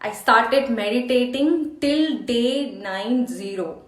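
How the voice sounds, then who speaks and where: Casting: female life coach, Indian accent, unhurried delivery, earnest personal storytelling, roomy echoing sound. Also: fairly high pitch. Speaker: life coach hosting a meditation challenge. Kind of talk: personal motivational storytelling to camera.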